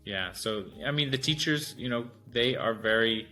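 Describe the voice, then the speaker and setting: A man's voice: medium pitch, moderate pace, pushed and bright, faint music behind, rough recording. A man hosting a casual talk show who explains his views loosely, searching for words and restarting his sentences. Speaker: host. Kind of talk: casual podcast chat.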